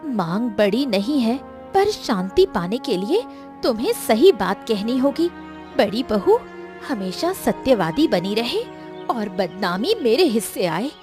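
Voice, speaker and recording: A woman's voice: fairly high pitch, sing-song, unhurried, a little mellow. Lady doing a fiery young village wife, complaining loads, swingy melodramatic cartoon voice, music behind. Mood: demanding. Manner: complaining, emphatic. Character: aggrieved, hot-tempered young village wife and daughter-in-law. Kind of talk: melodramatic animated story acting.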